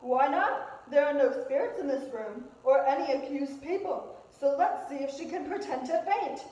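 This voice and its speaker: A woman's voice: sits high, animated, moderate pace, darkish tone. A woman playing an indignant, self-righteous accuser who presses insistently in an amateur puppet-show trial drama. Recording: very echoey room, dull sound.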